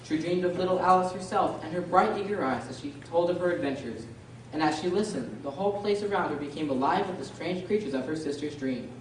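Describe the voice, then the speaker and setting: A woman playing the narrator of a children's fantasy radio play, reading descriptively in a measured storybook manner. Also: on the low side, animated, lightly acted. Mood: measured storytelling. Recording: very echoey room, distant, faint noise.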